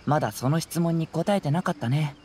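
A man voicing a calm, perceptive young gentleman investigator in a game, soft-spoken and politely probing. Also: on the high side, fairly quick, a little hiss or hum, lightly acted. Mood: calm, explanatory. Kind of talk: Japanese game cutscene voice acting.

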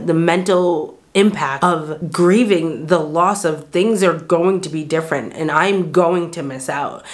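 A reflective American woman in her thirties speaks straight to the camera from a bright little bedroom. Her voice sits a touch low, and she talks in an earnest, searching way, circling back with "like" and restarting her sentences. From United States. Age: 30s. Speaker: vlogger. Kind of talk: candid talk to camera. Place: bright small bedroom indoors. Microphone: camera framed chest-up at desk distance.

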